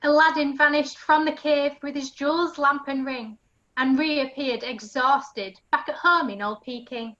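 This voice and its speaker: A woman's voice: sits high, steady tone, a little slow, fairly bright tone, muffled recording. A woman narrating a rhyming pantomime story as a storyteller.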